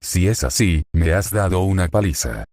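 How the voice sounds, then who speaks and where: Jokey young hero, read by a low-voiced man, dry close sound. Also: expressive, normal speed, a little mellow, no room sound. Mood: joking. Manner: jokey, then pleading. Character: cheerful young man turned desperate, hero.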